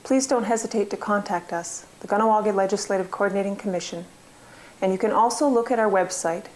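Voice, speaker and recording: A woman narrates an informational video from a script. Her pitch sits in the middle range for a woman, and faint noise sits behind her voice. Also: brisk, a little mellow.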